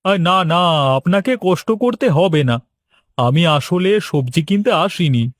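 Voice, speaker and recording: A man's voice: a little high, expressive, slow pace, no room sound, clean studio sound. A man playing a polite, patient village vegetable farmer in a Bengali cartoon. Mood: polite, earnest. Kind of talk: cartoon story dialogue.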